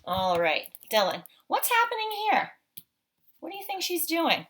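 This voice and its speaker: A woman's voice: high voice, huge pitch swings, normal speed, fairly bright tone. Woman teacher leading a picture-book read-aloud. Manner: encouraging, simple child-directed talk with frequent questions. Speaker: teacher reading aloud to children. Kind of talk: read-aloud picture walk, explaining and questioning.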